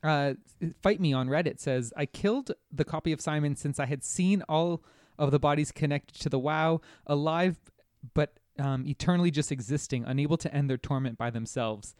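A man's voice: fairly high pitch, normal speed, darkish tone, no echo, clean studio sound. A man co-hosting a podcast, talking casually and informally about a game. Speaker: podcast co-host. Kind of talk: casual chat.

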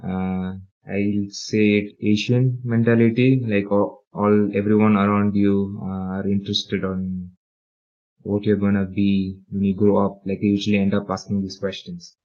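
A man's voice: fairly low pitch, little pitch movement, measured pace. A young man from the UAE, talking casually about his life in an interview, hesitant with many fillers. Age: young adult. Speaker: podcast co-host and interviewee. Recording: dull lo-fi audio.

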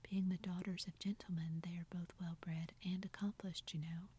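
A condescending English young lady speaks in a hushed, breathy whisper, as a woman reads her part aloud. Her tone stays level and low, patronizing without ever rising. This is a storybook reading done in soft ASMR style. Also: flat delivery, moderate pace.

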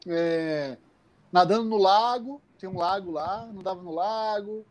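A man from the Campinas area of Brazil, telling childhood stories in a casual, animated way on a live call, laughing often. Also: high-pitched, unhurried, crowd noise. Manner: animated, laughing, many restarts. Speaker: guest. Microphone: phone on a live stream.

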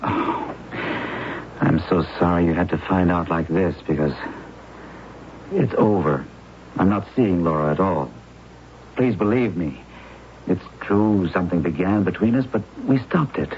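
An actor playing a guilt-ridden, dutiful husband in an old-time radio drama, earnest and emphatic. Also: male voice, low voice, expressive, unhurried, pauses often. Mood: contrite pleading. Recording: muffled old radio recording, faint noise.

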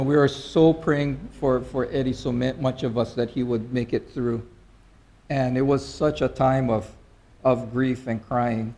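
A middle-aged man preaches to his congregation in a loose, conversational way, pausing and restarting his sentences often. His voice is mellow and relaxed, sitting at an ordinary pitch for a man. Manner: halting, conversational, many restarts and fillers. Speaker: pastor. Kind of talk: informal sermon.

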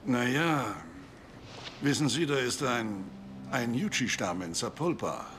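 A male anthropology professor in a drama, scholarly and measured as he explains. His mid-pitched voice moves with lively intonation. There is slight noise in the background. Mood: thoughtful. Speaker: actor in an audio drama or dubbed series. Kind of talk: dramatic dialogue acting.

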